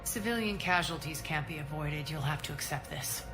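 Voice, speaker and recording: A ruthless alien crime queen, her voice on the low side and full of cutting scorn. She gives orders like a war leader in a game cutscene, with long reverberation and music behind her. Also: normal speed. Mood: cold, commanding. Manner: imperious, cutting, commanding. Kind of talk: video game cutscene voice acting. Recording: long hall reverb under loud game soundtrack.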